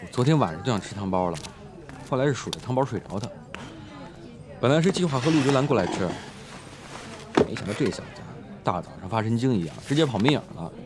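A young man acting a breezy, teasing high school student in a modern audio drama, talking casually and playfully. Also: expressive, fast, pauses often, noisy background, close to an everyday voice. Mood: chatty.

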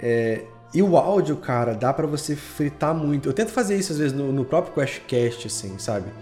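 A man's voice: mid-range voice, lively intonation, normal speed, a little mellow. Slangy Brazilian guy chatting over music. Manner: slangy, enthusiastic, rambling. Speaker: podcast guest, audio drama creator and editor. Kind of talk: casual interview chat.

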